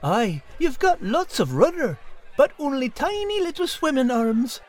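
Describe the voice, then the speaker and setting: A man voicing an excitable, literal-minded animal party host with a folksy Irish accent in a comic audio drama. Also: very high voice, a little slow, full character voice.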